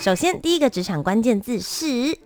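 A woman's voice: on the high side, big pitch swings, moderate pace, loud music behind the voice. A woman with a Taiwanese Mandarin accent hosting an upbeat interview. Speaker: host.

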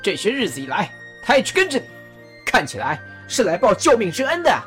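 A performer voicing a bold male army general who speaks loudly and emphatically. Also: medium pitch, huge pitch swings, brisk, faint music behind. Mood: emphatic. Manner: loud, emphatic.

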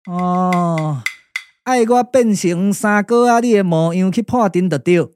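Excitable comic Taiwanese puppet-drama sidekick. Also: male voice, high-pitched, measured pace, slight background noise. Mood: eager, boastful. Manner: chatty, exclamatory, full of interjections. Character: comic, boastful shape-shifting sworn younger brother, excitable and bumbling. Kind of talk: Taiwanese glove-puppet radio drama acting.

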